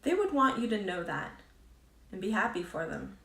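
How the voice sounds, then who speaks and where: Woman reading as a mysterious calm lady, echoey. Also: mid-range voice, moderate pace. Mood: serene, cryptic. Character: mysterious, serene, knowing lady stranger in storybook. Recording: roomy echo, distant from mic.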